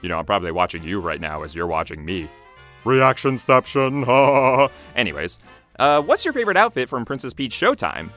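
Very low-voiced, animated young host guy woman-voiced. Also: brisk, soft background music, full character voice. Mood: affable. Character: affable, easygoing young cartoon guy hosting.

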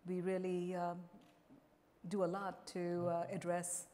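A middle-aged woman from India speaking on a conference panel through a lapel mic, measured and full of fillers. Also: on the low side, completely flat delivery, warm tone. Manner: measured, with frequent fillers and restarts. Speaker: pharma executive panelist. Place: large conference stage. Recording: long hall reverb.

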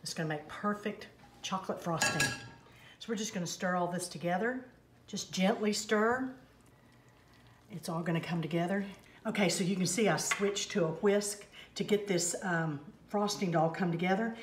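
A woman's voice: mid-range voice, moderate pace. Homey older woman cooking in her kitchen. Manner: homey, step-by-step instructive. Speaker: cooking segment host. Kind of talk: home cooking demonstration. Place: home kitchen, indoors. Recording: echoey kitchen with faint noise. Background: clinking pots, bowls and utensils.

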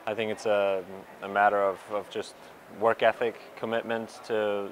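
A young man with a low, level voice answers a cluster of reporters after a match. He talks haltingly, full of ums and restarts, into handheld mics held close. A faint hum of the stadium sits behind him. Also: little pitch movement, rough recording. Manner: hesitant, many fillers and restarts. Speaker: pro soccer player. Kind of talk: postgame media scrum. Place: stadium media area in front of sponsor backdrop. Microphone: reporters' handheld mics and recorders held close.

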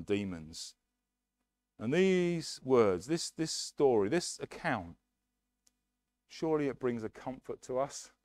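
A man's voice: on the low side, darkish tone. A middle-aged man with an English accent preaching a sermon earnestly at a lectern in a church hall, on a headset mic. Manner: earnest, emphatic preaching with hand gestures at a lectern. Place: church hall, medium size, indoors.

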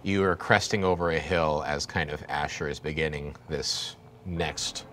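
A man running a tabletop horror game as game master, describing scenes and hushing his voice for grim moments. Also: rumbling low, fairly even intonation, moderate pace, slight background noise.

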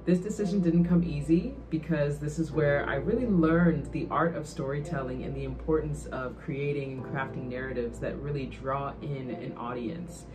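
Low-pitched woman over guitar music. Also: normal speed, few pauses. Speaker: communications professor, former news producer. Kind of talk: personal testimonial to camera.

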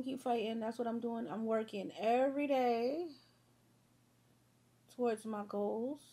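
Young woman, kinda husky and sniffly, rambling to her viewers while she does her makeup at home. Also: fairly high pitch, mellow. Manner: rambling, chatty, talks to viewers as y'all and girl. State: sniffly, fighting a sore throat. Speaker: beauty vlogger. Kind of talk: casual get-ready-with-me chat.

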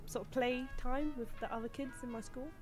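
A woman's voice: high voice, steady tone, fairly quick. Young woman, chatty, music behind. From United Kingdom. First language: Cantonese. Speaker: podcast guest. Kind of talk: casual chat. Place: home room, indoors. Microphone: desk mic on a video call.

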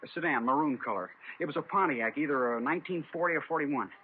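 An American actor playing an eager, cooperative eyewitness in an old-time radio crime drama. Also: male voice, a little high, quick pace, natural voice. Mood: earnest, sure. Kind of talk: 1950s radio acting. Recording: muffled, noisy vintage radio broadcast.

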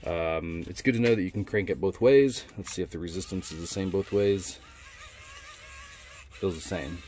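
A man with a low voice talks through a product unboxing in a casual way. His manner is laid-back and colloquial, like an informal reviewer at home. A faint layer of room noise sits behind him. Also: normal speed, dark tone. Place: indoors at a wooden workbench.

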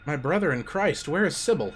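A young man live-streaming a horror game on a headset mic, joking and cursing, with loud excited reactions. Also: on the high side, brisk, a little hiss or hum. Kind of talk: casual live-stream commentary.

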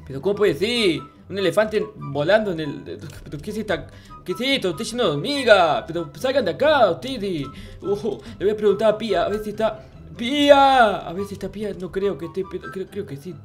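A performer voicing a childish, excitable, clueless noob in an improvised game roleplay, stammering and repeating words. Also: medium pitch, lightly acted. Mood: alarmed. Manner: repetitive, stammering, exclaiming. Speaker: gaming channel host.

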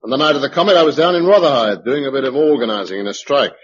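Man acting a forceful, hot-tempered British survivor leader. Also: medium pitch, lively intonation, rapid-fire, close to an everyday voice. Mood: blustering. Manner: emphatic, argumentative, speechifying. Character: hot-tempered forceful male organizer urging survivors to rebuild. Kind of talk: 1950s-60s British radio drama acting. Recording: dull old radio broadcast recording.

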